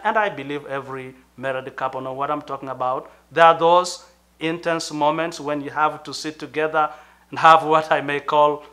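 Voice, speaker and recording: A middle-aged man from Tanzania with a Tanzanian accent, a pastor giving an earnest, measured talk with thoughtful pauses. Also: on the high side, sharp tone. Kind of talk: sermon-like talk to camera.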